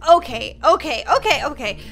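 A woman in her twenties hosting a gaming video, narrating a roleplay in an excitable, exaggerated way on a close mic. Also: high voice, brisk, vocal fry, soft background music. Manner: expressive, exaggerated. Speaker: gaming YouTuber, host. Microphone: close studio mic on boom arm.

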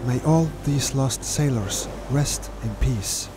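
A man of middle pitch narrates his sailing trip in a steady, measured voice-over. His phrasing is slightly non-native, and music plays clearly beneath him. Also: poor audio. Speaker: solo sailor narrating his voyage.

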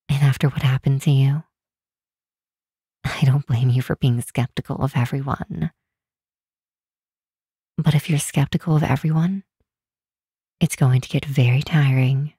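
A woman playing a regal, protective demon queen in a comforting ASMR roleplay, speaking softly and soothingly in a deliberate, hushed way. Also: a bass voice, no room sound, clean studio sound, heavily acted. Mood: gentle, consoling. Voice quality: soft, breathy, hushed.

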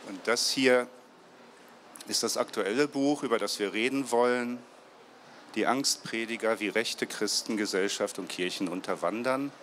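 Mann mit tiefer Stimme moderiert auf der Messebühne. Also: male voice, fairly low pitch, a bit bright, slight background noise. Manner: halting, many fillers and restarts. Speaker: moderator, publisher's marketing head. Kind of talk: moderated book talk. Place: trade fair stage before a seated audience. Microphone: handheld mic.

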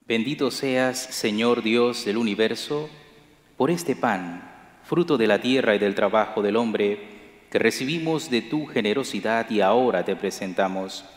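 Solemn priest in an echoey church. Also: male voice, on the low side. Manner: measured, solemn liturgical recitation. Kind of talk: celebrating Mass, liturgical prayers. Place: large church sanctuary, at the altar. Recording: echoey church with long reverb.

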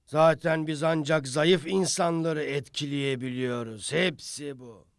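An actor playing a gloomy, complaining devil underling who schemes in a religious cartoon. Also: male voice, a little high, unhurried, dry recording, clean studio sound. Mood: grumbling. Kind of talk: dubbed religious cartoon acting.

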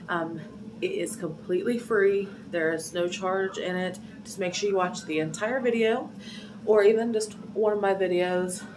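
A woman's voice: mid-range voice, recorded from a distance, background noise. A young woman with a cold, her nose clogged, chatting casually to camera in a small pantry, rambling with many fillers. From Ohio. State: congested from a cold. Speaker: vlogger. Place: small home pantry, indoors. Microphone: phone camera at arm's length.

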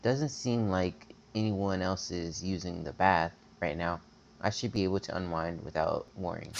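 Low-voiced man reading a game aloud. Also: vocal fry, a bit bright, muffled recording. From America. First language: not English. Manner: stumbles over words, chatty asides. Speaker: gameplay commentator reading the game's text aloud. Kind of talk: casual let's-play reading and chat. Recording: faint game music behind.

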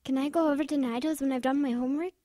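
A woman's voice: sits high, steady tone, very fast, over loud music, lightly acted. A woman voicing a cheeky, eager wartime schoolboy in a radio drama.

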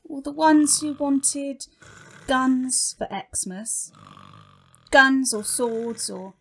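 A woman's voice: sits high, extremely slow, slightly rough, full character voice. A woman playing a chatty, sycophantic demon servant in an audio comedy drama, speaking with eager deference. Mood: anxious, cautioning. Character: Crabby, tipsy sycophantic demon minion of Satan.

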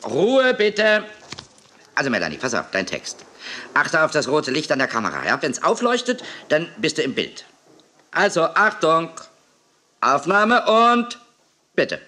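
An actor playing a fussy, flustered TV studio director in a 1980s German children's audio drama, stammering and repeating himself. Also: male voice, on the high side, huge pitch swings, unhurried, pauses often, pushed and bright, exaggerated voice. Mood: instructing.

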